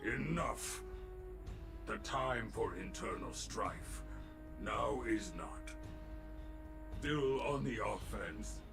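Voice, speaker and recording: Solemn sage, inverted phrasing, rough gravelly tone, very slow delivery, lowish voice. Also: male voice, expressive, heavily acted. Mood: stern. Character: solemn sage man speaking in inverted word order. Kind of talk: video game cutscene acting. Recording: game audio with music behind.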